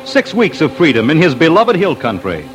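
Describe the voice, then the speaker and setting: A man with a fairly high voice narrates a true-crime radio story in old-time style. His delivery is dramatic and lively, swinging in pitch as he tells the tale, with music clearly behind him. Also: moderate pace.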